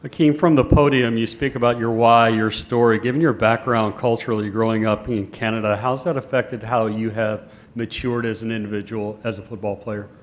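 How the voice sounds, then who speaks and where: Brisk, formal male moderator. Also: on the low side, steady tone. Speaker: press conference moderator. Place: media day press room.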